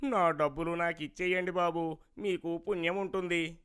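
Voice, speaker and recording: A man acting a high-pitched, vegetable seller. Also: steady tone, moderate pace, fairly bright tone, clear, resonant tone, natural voice. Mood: aggrieved, pleading. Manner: rustic, colloquial, plaintive way of talking. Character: greedy, wheedling male village vegetable seller. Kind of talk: cartoon moral story character acting.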